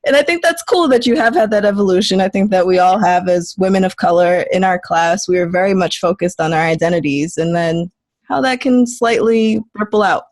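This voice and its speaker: A woman's voice: medium pitch, fairly quick, darkish tone, no room sound. A woman in her late twenties interviewing an old classmate, chatty and friendly with casual slang.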